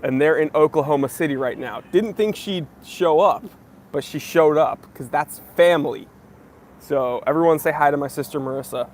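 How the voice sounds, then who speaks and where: A man's voice: on the high side, normal speed, slight background noise. Chatty young man hosting a live Q&A. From United States. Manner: chatty and joking, greets viewers by name. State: slightly wheezy, recovering from a cough. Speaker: livestream host. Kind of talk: casual live Q&A chat, reading comments.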